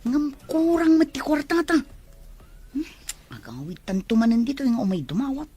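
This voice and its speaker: A woman plays a young farm daughter who grumbles. Her high voice has a breathy rasp and swings up and down. She has an Ilocano accent, suited to a rural family drama. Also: sing-song, normal speed, warm tone, heavily acted. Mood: grumbling. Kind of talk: amateur Ilocano radio-style family drama.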